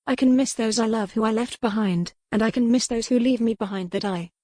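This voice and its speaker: A woman's voice: on the high side, a little fast, warm tone, dry recording, studio quality, pitched above the performer's own voice, natural voice. A woman voicing a proud, heartfelt young captive woman in an audiobook romance, speaking earnestly and formally. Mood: wounded, impassioned. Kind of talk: audiobook character dialogue.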